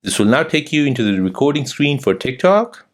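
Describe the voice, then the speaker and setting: A man's voice: mid-range voice, very animated, fairly quick. A man hosting a how-to tutorial, giving scripted step-by-step instructions. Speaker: tutorial host.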